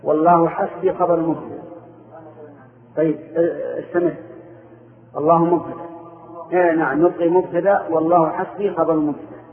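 A man's voice: fairly high pitch, fairly even intonation, fairly quick, long pauses. A man teaching Arabic grammar, quizzing his students and confirming their answers with short replies. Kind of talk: classroom questioning of students. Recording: echoey room over a tinny phone-like line.

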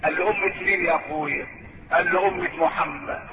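A man with an Egyptian accent delivers a sermon in a high-pitched voice. He addresses his listeners as a storyteller, mixing everyday asides with stretched, declaimed phrases. The sound is thin and phone-like, with clear noise behind him. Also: fairly even intonation. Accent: Egyptian Arabic. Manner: rhetorical, alternating colloquial asides with drawn-out declamation. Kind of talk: sermon to a congregation with storytelling and recited verse. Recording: noisy, narrow phone-like sound.

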